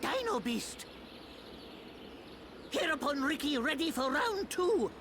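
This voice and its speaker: A tiny, boastful furry game creature. The voice is squeaky and very high, bouncing widely in pitch. It speaks slowly in childlike broken sentences. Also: big pitch swings, background noise, exaggerated voice. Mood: eager, puzzled. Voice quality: squeaky, cartoonish. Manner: talks of self in third person, broken grammar. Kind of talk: video game cutscene acting.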